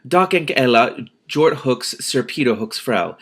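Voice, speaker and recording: A man's voice: mid-range voice, animated, a little slow, a bit bright. A man voicing a polite young runaway heroine in a storybook, carefully pronouncing words of an invented language. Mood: careful, hopeful.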